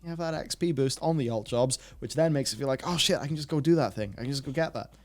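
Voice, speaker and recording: A man's voice: mid-range voice, quick pace. Rambling male gaming host, thinking aloud. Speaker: host reading and answering viewer comments. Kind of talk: casual gaming discussion.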